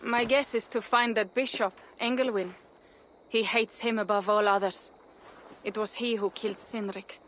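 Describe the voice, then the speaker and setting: A woman's voice: fairly high pitch, steady tone, moderate pace, fairly bright tone, slight background noise, lightly acted, rough recording. An actress playing a bold, eager young Viking raider woman in a video game. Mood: matter-of-fact. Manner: bold, boisterous.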